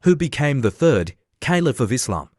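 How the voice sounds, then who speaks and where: A man hosting a quiz, reading out questions and answers in a plain, measured way. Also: medium pitch. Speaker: quiz host. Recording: clear steady background noise.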